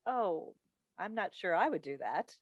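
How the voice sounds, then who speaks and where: Woman doing a talk show host mom in a play reading, kinda defensive n halting, really lively, dry mic. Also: mid-range voice, no echo, highs cut off. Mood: polite, unsure. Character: defensive daytime talk-show host, wife and worried mother. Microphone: video call microphone.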